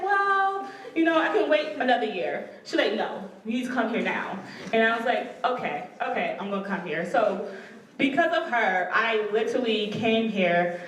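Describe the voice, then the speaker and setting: A woman's voice: on the high side, lively intonation, moderate pace, a bit bright. A young woman gives an informal personal testimonial to an audience, conversational and often quoting exchanges. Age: young adult. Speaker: law student ambassador speaker. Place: large indoor lecture hall, speaking into handheld microphone. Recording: echoey hall, distant mic, faint room noise.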